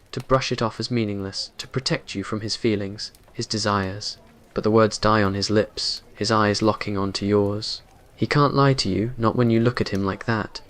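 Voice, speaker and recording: A man's voice: fairly low pitch, a bit bright. A man reading scripted romantic roleplay narration in an ASMR story style.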